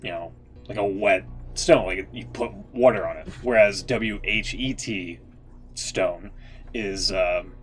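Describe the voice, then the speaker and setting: Low-voiced man rambling casually on a livestream. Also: takes it slowly, soft background music. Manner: rambling, hedging, interjecting. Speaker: streamer reacting to game story readings. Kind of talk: casual live commentary, thinking aloud. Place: live stream.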